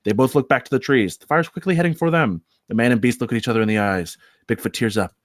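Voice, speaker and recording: A man's voice: medium pitch, fast talker, no echo, studio quality. A man narrating, reading screenplay action aloud at a table read. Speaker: script reader, narrator.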